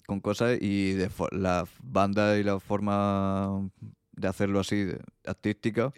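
A low-voiced man co-hosting a casual podcast, recorded close and dry. He often trips over his words and laughs at himself. His talk stays loose and informal. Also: little pitch movement, a little slow, clear, resonant tone, dry recording. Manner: stumbles over words, self-mocking, laughs easily. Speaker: podcast co-host.

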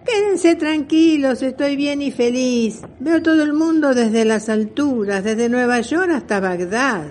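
A woman's voice: sits high, a little slow, few pauses, noisy background. A woman playing a spirited, kindly old great-grandmother and retired aviatrix in a children's radio play, with an Argentine accent and exclaiming delivery. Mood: delighted wonder. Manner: animated, exclaiming. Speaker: student voice actor.

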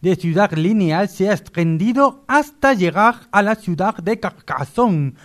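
A French male news co-anchor with a slightly high voice reads a parody bulletin, acted by a male comedian. He belongs to a radio comedy sketch. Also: animated, measured pace, a bit bright. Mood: mock serious. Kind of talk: mock news reading.

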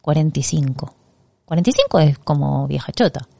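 A woman's voice: low-pitched, animated, brisk, vocal fry, mellow, no room sound. A woman in her forties with an Argentine accent, chatting casually as co-host in a wry, self-mocking way. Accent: Argentine Spanish. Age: 40s. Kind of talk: casual chat.

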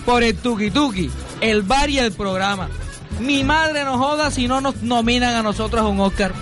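Guy doing a boastful slangy costeño colombian drinker for radio fiction, pretty high voice, music behind. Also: moderate pace, fairly bright tone. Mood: boisterous. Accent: Colombian Caribbean. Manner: slangy, boastful, street-wise talk. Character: Flecha, slangy, easygoing barfly radio host fond of rum. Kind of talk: comic radio fiction acting.

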